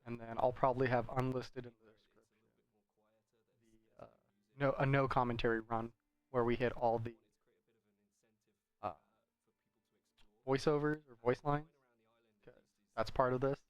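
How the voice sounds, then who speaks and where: Male gameplay commentator, mid-pitched, conversational and meandering, unsure phrasing, recorded dry. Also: steady tone, moderate pace, pauses often, clean tone, dry recording, poor audio. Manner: rambling, conversational, hedging. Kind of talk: casual game commentary.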